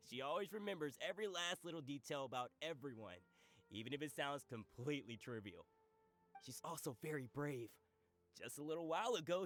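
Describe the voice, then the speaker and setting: An actor playing a genial, joking food-stall merchant in a game, hearty and teasing. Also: male voice, a little high, fairly bright tone. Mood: teasing, jovial.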